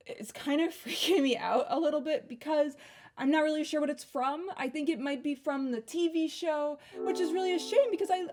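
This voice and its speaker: A woman's voice: high-pitched, natural voice. A woman playing an anxious, self-doubting show maker in a hesitant, rambling comic confession to viewers, often repeating words. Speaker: performer in a surreal variety show.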